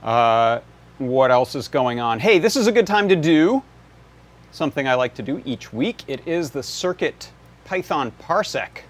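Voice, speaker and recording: A man's voice: mid-range voice, lively intonation, a little slow, a little hiss or hum. A man hosting a live electronics workshop, explaining demonstrations in a casual way. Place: indoor workshop.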